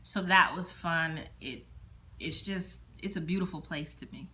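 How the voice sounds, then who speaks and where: A woman's voice: lowish voice, measured pace, sharp tone, a little hiss or hum. A middle-aged woman chatting casually to her camera at home, rambling and repeating words as she thinks aloud. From born in Germany, military child. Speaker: vlogger. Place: indoors at home, windows open.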